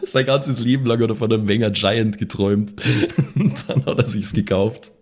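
A man's voice: lowish voice, fairly even intonation, moderate pace, a little mellow. A man chatting casually and jokily as a player in a tabletop role-playing podcast, with colloquial wording. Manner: colloquial, jokey. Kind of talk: casual group chat.

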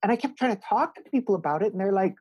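A man's voice: high-pitched, animated, racing, a little mellow, slightly rough, no echo. A man from Hawaii, a law scholar and guest, answering interview questions earnestly and emphatically, often restarting phrases as he thinks, over a video call.

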